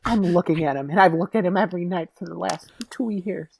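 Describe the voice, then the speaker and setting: A woman's voice: on the low side, fairly quick, gravelly, a little mellow, a little hiss or hum, pitched below the performer's own voice. A woman playing a melodramatic villain sibling who dotes on an android, exaggerated and prone to wailing and taunting, in an improvised comedy. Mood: protective, indignant.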